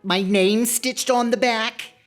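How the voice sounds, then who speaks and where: A woman's voice: fairly high pitch, fairly even intonation, slow pace, soft background music. A woman playing a vain, starstruck celebrity co-host in gushing, theatrical comic banter. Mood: giddy, excited.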